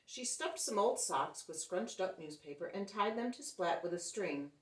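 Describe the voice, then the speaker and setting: A woman's voice: medium pitch, moderate pace. A woman reading a picture book aloud to young children, acting out the characters and asking the listeners questions. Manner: expressive, acts out the characters. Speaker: children's storyteller. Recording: roomy echo, distant microphone.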